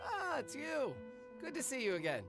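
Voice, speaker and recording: A bright-voiced eager stall owner. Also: male voice, extremely high pitch, wildly swooping pitch, normal speed, over music, full character voice. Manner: enthusiastic, slightly flustered. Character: eager young male drink stall owner. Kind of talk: video game voice acting.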